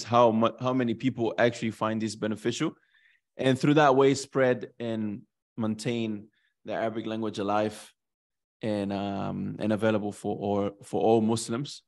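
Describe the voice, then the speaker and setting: A man's voice: fairly low pitch, a little mellow. A man hosting a video podcast interview, speaking in a friendly way and encouraging viewers, using Islamic phrases, into a studio microphone. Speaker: host. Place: bright indoor room. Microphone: studio microphone and headphones.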